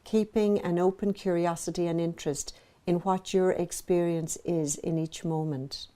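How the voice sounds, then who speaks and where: A woman with a soft, very mellow voice, set a little low for a woman, leads a guided meditation. She speaks as a teacher, gently and evenly measured. Her phrases are separated by soothing pauses. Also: fairly even intonation.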